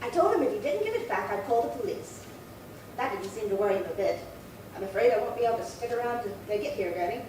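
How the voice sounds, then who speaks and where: Actress as a prim old woman, high swooping voice, fussy, indignant, rambling, radio crime drama, very echoey distant recording. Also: lively intonation, fast talker, close to an everyday voice. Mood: earnest recounting. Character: prim, chatty, indignant old woman of seventy-eight reporting burglary. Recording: very echoey, distant, noisy room.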